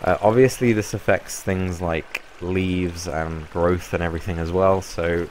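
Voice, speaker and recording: Deep-voiced young man, croaky from a cold. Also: unhurried, slight background noise. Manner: relaxed, rambling explanation with fillers. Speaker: Minecraft YouTuber. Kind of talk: casual gameplay tutorial.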